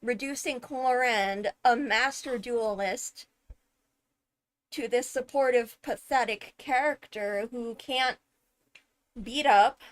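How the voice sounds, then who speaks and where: A woman streamer giving casual, emphatic analysis of a game video, halting as she thinks through points. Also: on the high side, low-quality recording. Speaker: streamer, host.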